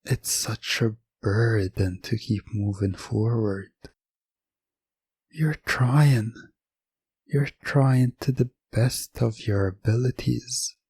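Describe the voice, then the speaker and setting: A man narrating a scripted hypnosis story in the second person, in a deliberate, soothing, commanding ASMR manner, close to the microphone. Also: medium pitch, a little mellow, breathy edge, no echo.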